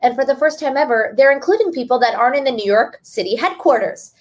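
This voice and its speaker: A woman's voice: sits high, expressive, fast. A woman author speaking as a guest in a webinar, explaining earnestly and restarting phrases now and then. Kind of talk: webinar talk.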